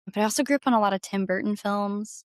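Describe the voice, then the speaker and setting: A woman's voice: mid-range voice, fairly even intonation, quick pace, darkish tone, no room sound, studio quality. A woman talking casually and enthusiastically as a guest in an interview, effusive about her creative work. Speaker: podcast guest, singer-songwriter and audio drama creator.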